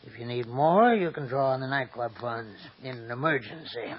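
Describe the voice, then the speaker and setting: A man's voice: mid-range voice, lively intonation, close to an everyday voice. A man playing a gloating old crime boss. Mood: businesslike. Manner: gloating, chuckling, slow and deliberate. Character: scheming older male crime boss. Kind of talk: 1940s radio acting. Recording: dull old radio broadcast with faint hiss.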